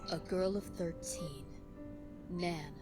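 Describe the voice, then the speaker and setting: A woman playing an eerie, reproachful young girl reciting poetry in a visual novel, slowly and deliberately. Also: fairly low pitch, steady tone. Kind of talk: scripted visual novel narration. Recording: loud music over voice.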